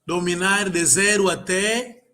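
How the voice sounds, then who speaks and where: A man's voice: high-pitched, steady tone, takes it slowly, pushed and bright. A man tutoring a student in an informal lesson, patiently repeating and drilling words. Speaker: language tutor.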